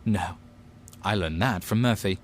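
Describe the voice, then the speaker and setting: A man's voice: sits low, a little slow, a little hiss or hum. An actor playing a bold male sidekick in an audio drama, pointed and cheeky as he challenges others. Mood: challenging.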